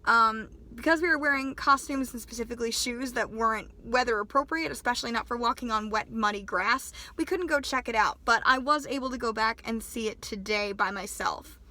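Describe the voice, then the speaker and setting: A woman's voice: on the high side, a little fast, few pauses, sharp tone. A woman in her twenties chatting casually in a vlog, her voice still off from a sinus infection, friendly and self-deprecating. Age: 20s. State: recovering from a sinus infection, voice not back to normal. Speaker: cosplay vlogger.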